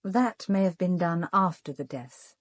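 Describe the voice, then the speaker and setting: A woman's voice: lowish voice, expressive, a little slow, dry recording. An actress playing a cool, observant English woman detective in a radio drama, composed and matter-of-fact. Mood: cool, frank. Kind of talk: British radio drama acting.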